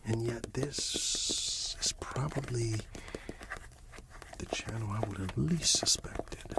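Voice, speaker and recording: A man giving an encouraging, rambling ASMR monologue that slips between a breathy whisper and soft speech, often repeating himself. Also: medium pitch, slow pace, rough recording. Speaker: ASMR channel host.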